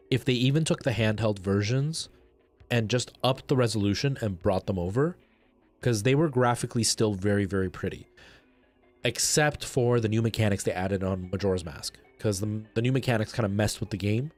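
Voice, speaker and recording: A man chatting casually and enthusiastically with viewers as he hosts a video game livestream. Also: mid-range voice. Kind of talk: casual livestream chat while playing a game.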